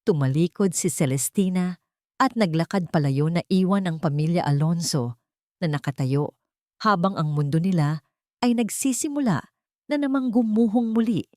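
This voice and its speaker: Low-voiced Filipino woman narrating a story. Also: moderate pace, a little mellow, no echo, clean studio sound. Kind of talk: scripted dramatic storytelling narration.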